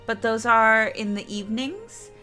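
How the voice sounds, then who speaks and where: A woman's voice: on the high side, expressive, a little slow, bright, forceful tone, soft background music. A woman streamer chatting casually to her viewers, rambling and often restarting her sentences. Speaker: game streamer.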